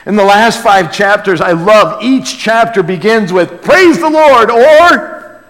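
A male pastor teaches from the pulpit in a fairly high voice, with long reverb trailing in a big hall. He speaks conversationally but earnestly, breaking into exclamations and repeating phrases to press them home. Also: lively intonation, slow pace, fairly bright tone. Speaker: pastor preaching to a congregation. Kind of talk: sermon, conversational Bible teaching with call and response.

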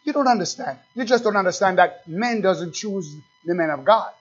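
A man preaching a sermon as pastor, swinging from quiet asides to loud, slow, emphatic scripture reading and questions put straight to his congregation. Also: high-pitched, lively intonation, faint music behind. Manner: reads scripture slowly and with emphasis. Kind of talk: fiery sermon. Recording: dull, lo-fi recording.

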